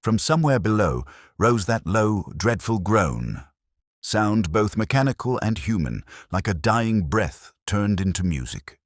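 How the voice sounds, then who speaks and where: A man's voice: on the low side, very animated, unhurried, no echo, clean studio sound. A man giving a scripted mystery narration in a grave, dramatic, suspenseful manner. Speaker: story narrator.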